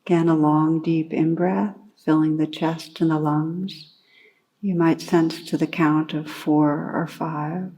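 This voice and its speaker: A woman meditation teacher leading a guided meditation in a calm, unhurried way, gently instructing with long pauses. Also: low voice, poor audio.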